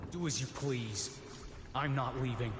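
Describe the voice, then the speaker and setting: A man's voice: medium pitch, a little slow, natural voice. A man playing a stubborn, obsessed relic seeker heard as a ghostly echo in a video game, speaking with defiant intensity. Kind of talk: scripted video game dialogue. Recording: echoing hall reverb, clear watery ambient noise.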